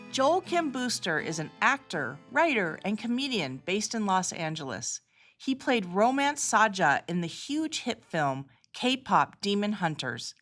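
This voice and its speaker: Lively woman hosting, music behind. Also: mid-range voice. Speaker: podcast host, healthcare executive. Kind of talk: scripted narration and interview questions.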